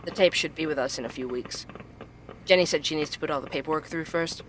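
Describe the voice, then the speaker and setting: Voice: medium pitch, fast talker, fairly bright tone, slight background noise, natural voice. An actor playing a tired, earnest investigative journalist who hosts a podcast, in naturalistic audio drama. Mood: gentle, concerned.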